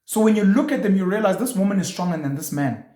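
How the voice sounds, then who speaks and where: A man's voice: high-pitched, animated, fast talker, dark tone, echoey room. A man hosting his own show, speaking in an opinionated monologue full of provocative rhetorical questions and emphatic points. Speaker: show host.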